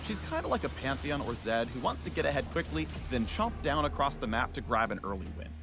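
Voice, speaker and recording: A man's voice: mid-range voice, big pitch swings, fast talker. A man narrating a scripted video game character guide in a punchy, theatrical promotional style. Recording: music bed behind.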